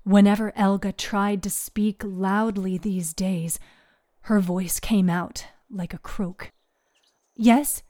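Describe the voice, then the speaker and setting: A woman narrates a scripted audio drama. Her voice is soft and mellow, at a middle pitch. She takes her time with each phrase. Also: level tone, takes it slowly. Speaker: narrator, writer and producer.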